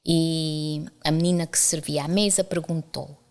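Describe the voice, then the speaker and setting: A woman's voice: low-pitched, fairly even intonation, measured pace, a little mellow. A middle-aged woman from the Azores with a Portuguese accent, an academic guest talking candidly and telling anecdotes in conversation. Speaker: university professor and author, interview guest. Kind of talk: conversational interview storytelling.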